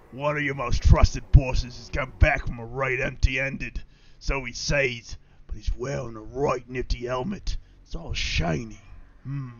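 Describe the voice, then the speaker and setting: A man role-playing a boastful, brutish male orc warlord on a game stream, with a rough, gravelly throat and rowdy, crude bellowing. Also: medium pitch, a bit bright, highs cut off, heavily acted.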